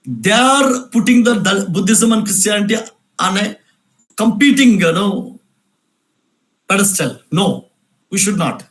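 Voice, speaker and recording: High-pitched insistent man. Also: animated, unhurried, long pauses, fairly bright tone, low-quality recording. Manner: repetitive, insistent, rhetorical questions. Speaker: speaker at a cultural event. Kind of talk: emphatic lecture answering questions.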